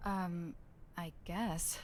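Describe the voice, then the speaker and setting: An actress voicing a cool, blunt, reserved young woman in a video game, deadpan and understated. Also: on the low side, very slow, soft background music. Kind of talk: video game dub acting.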